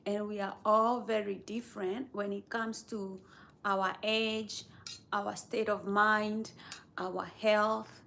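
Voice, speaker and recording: A woman from Kenya chatting casually on a live stream, rambling in an unhurried way and talking straight to her viewers. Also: mid-range voice, little pitch movement, creaky, a bit bright, a little hiss or hum. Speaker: livestreamer.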